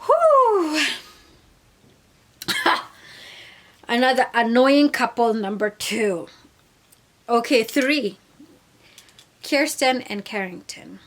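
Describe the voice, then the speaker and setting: Slow-talking sassy woman vlogger. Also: a little high. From Kenya. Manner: animated, sassy, acts out quotes in voices. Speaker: reality TV reviewer. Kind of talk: casual vlog review.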